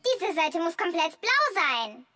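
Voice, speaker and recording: A performer voicing an eager, cheeky little boy tech-sprite in an animated children's cartoon dub, excitable and exclamatory. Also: very high-pitched, measured pace, full character voice. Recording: loud music under the voice.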